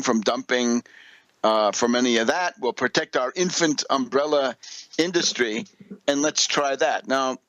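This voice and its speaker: A man's voice: mid-range voice, fairly bright tone, no echo, lo-fi sound. A man delivering political and economic commentary as a radio host, lecturing and putting rhetorical questions to the listener. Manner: lecturing, didactic, with rhetorical questions and check-ins.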